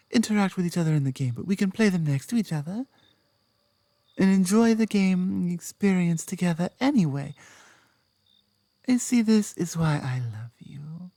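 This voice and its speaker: A woman with a British accent playing an affectionate, grateful werewolf girlfriend in an intimate, halting ASMR roleplay, speaking softly close to the microphone. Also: fairly low pitch, lively intonation, dry recording. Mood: sheepish, then tender, grateful. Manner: gentle, halting, earnest, often dropping to a whisper. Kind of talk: ASMR roleplay, intimate soft speech with whispers.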